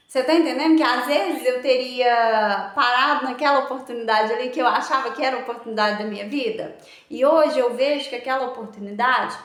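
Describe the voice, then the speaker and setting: Earnest woman sharing a testimony, echoey room. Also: sits high. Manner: earnest, reflective, many pauses and fillers. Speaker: motivational speaker. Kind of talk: personal testimony to camera.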